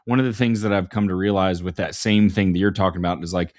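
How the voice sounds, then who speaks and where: A man's voice: low voice, steady tone, quick pace, darkish tone, dry recording. Man in his thirties, dry close sound. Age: 30s. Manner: loose and swearing, many "like" fillers. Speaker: podcast host and coach. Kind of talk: casual podcast chat.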